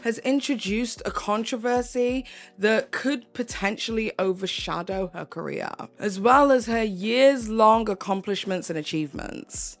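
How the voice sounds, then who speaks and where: A young woman, hoarse, earnestly delivering an opinion commentary vlog and repeating phrases for emphasis. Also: fairly high pitch. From United Kingdom. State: hoarse, voice going. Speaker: commentary YouTuber.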